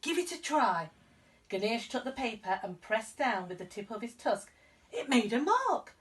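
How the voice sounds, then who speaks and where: A woman narrating a children's story expressively as a storyteller, with clear emphasis for young listeners. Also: a little high, big pitch swings, normal speed, distant mic. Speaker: storyteller, school reader.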